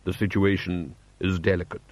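A man's voice: low-pitched, level tone, no room sound, voice pushed down. Man acting a polished, courteous princely diplomat. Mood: grave, courteous. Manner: formal, polished, measured politeness.

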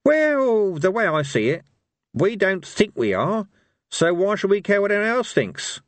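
A man's voice: sits high, sing-song, measured pace, no echo, voice pushed up. A man voicing a bold, carefree old barbarian warrior in an audiobook, with a blunt, hearty manner. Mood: hearty, defiant. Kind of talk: audiobook character voice.

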